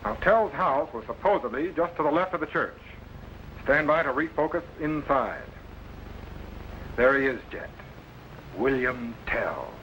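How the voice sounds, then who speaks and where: An actor playing a commanding, exacting time-machine captain in an old-time sci-fi serial, giving clipped, authoritative orders. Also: male voice, medium pitch, lively intonation, measured pace, long pauses, a bit bright. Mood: brisk, commanding. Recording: noisy old broadcast recording.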